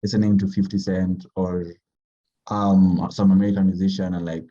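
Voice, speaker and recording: Soft-spoken man, dark mellow voice. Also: low voice, level tone, muffled recording. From Kenya. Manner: halting, thoughtful, heavy with fillers. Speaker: writer, podcast guest. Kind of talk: casual conversation.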